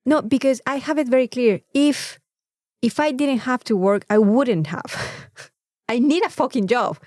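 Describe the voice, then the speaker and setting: A woman chatting casually as a podcast co-host, animated and free with swearing. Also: high voice, unhurried, no room sound, clean studio sound. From Mexico. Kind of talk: casual chat.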